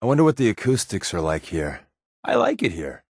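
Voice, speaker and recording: An actor voicing a sardonic, wisecracking secret agent companion in a video game, with a dry, joking manner. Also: male voice, lowish voice, wildly swooping pitch, brisk, vocal fry, slightly rough, dry recording, heavily acted. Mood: wry. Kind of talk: game voice acting.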